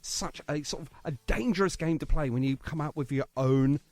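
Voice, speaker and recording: A man's voice: fairly high pitch, brisk, a little mellow, no room sound. A man hosting a radio show in casual interview chat, talking in a chatty, enthusiastic and effusive manner.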